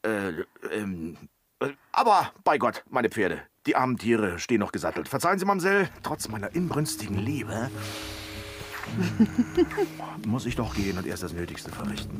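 An actor playing a brash, boastful manservant in a comic period radio play, flirting with theatrical swagger. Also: male voice, fairly low pitch, very animated, normal speed, music in the background. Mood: evasive, flustered. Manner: swaggering, theatrical, with evasive hesitations. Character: brash, boastful male servant, flirting evasively.

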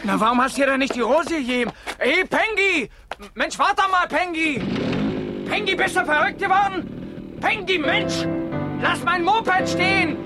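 An excitable teenage pupil in a radio play. The voice is high and breathless, full of exclamation, with music clearly heard in the recording. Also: female voice, measured pace. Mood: concerned. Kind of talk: German radio play acting. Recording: music behind, 1970s radio production.

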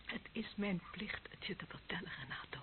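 A woman's voice: mid-range voice, expressive, normal speed, close to an everyday voice. An actress playing an embittered, lonely young policewoman in a radio drama, bitter and taunting, often dropping to a whisper. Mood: coldly menacing. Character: embittered, lonely young policewoman, former death squad member. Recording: muffled phone-like band, clearly noisy old recording.

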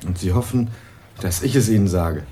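An actor playing a wary young student. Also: male voice, low voice, moderate pace, dark tone, a little hiss or hum, close to an everyday voice. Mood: guarded. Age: young adult. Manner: curt, wary, clipped answers. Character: young male student, guarded son. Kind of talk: 1980s radio crime drama.

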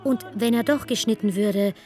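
A woman's voice: medium pitch, normal speed. Woman narrating poetically over faint music. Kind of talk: dramatic poetic scripted narration.

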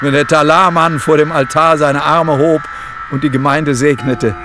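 A male actor plays an embittered scholar looking back on life as a preacher's son. His voice is fairly high and gravelly, his telling sardonic and theatrical, in radio drama style with prominent music. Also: fairly even intonation, moderate pace, close to an everyday voice. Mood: wry, reflective. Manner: sardonic, theatrical, quotes others mockingly. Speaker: interviewee. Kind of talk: radio drama acting, reminiscing monologue.

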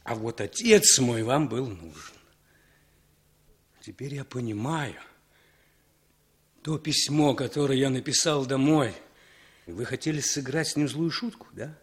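Casting: male as a drunk, embittered ex-prisoner living in exile, 1960s radio play. Medium pitch, halting, brooding, self-accusing delivery. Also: expressive, husky. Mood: bitter, despairing. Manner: halting, brooding, repeats himself with bitter emphasis. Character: bitter, drunken, self-loathing émigré, a former prisoner of war.

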